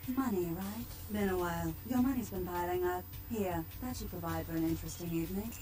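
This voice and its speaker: A woman's voice: fairly low pitch, fairly quick, dark tone, slight background noise, natural voice. A woman playing a cool, sultry nightclub owner in a video game, speaking casually and knowingly. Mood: cool, businesslike. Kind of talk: video game character dialogue.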